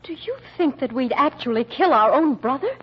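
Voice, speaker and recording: An actress playing a trusting, earnest daughter who protests in a proper manner, in an old-time radio mystery. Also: female voice, high voice, lively intonation, normal speed, slightly rough. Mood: indignant. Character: prim, indignant, trusting daughter of a publishing family. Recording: dull vintage radio recording with hiss.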